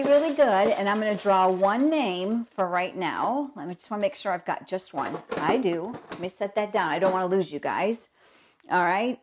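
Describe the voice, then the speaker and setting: Woman host, mid-pitched, froggy with a cold, chatty live-stream manner. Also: a little mellow, a little hiss or hum. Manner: chatty, greets viewers by name. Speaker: host of a live stamping show. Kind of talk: casual live-stream chat.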